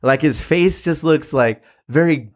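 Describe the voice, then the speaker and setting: A man hosting a podcast in casual chat, swearing freely and acting out quotes in animated stories, in a room above a brewery. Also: a little high, unhurried. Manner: profane, animated storytelling with voiced quotes. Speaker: podcast host.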